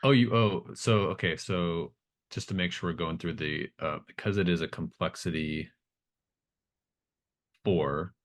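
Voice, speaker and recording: A man acting as game master, speaking casually and haltingly as he checks in with his players. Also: low-pitched. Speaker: game master of a tabletop RPG. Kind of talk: casual, unscripted game running.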